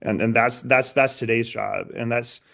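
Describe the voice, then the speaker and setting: A male interviewer with a mid-pitched voice in a conversational exchange. He sounds earnest and feels his way through sentences, doubling back on words as he thinks out loud. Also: steady tone, creaky. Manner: earnest, halting, repeats words as he thinks aloud. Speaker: interviewer, host.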